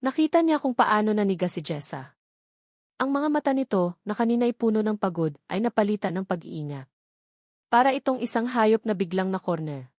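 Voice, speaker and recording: A woman narrates a scripted love drama with measured, dramatic delivery. Her pitch sits in the middle of the usual female range, and the sound is very dry, with no room to it. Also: expressive, darkish tone, dry recording.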